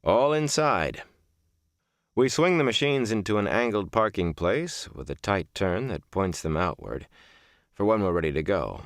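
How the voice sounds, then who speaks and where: A man reading a first-person literary book as a narrator, with measured, deliberate phrasing and emphatic stresses. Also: lowish voice, sing-song, dry recording, clean studio sound. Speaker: audiobook narrator. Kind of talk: scripted audiobook narration of a reflective first-person memoir novel.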